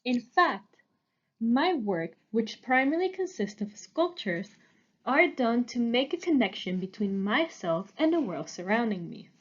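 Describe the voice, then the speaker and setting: Young woman, twenties, art student giving a prepared talk, earnest and a bit halting, fairly high voice, slightly dull recording. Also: very animated. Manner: earnest, halting, with small restarts. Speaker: art student presenting her work. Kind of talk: prepared presentation.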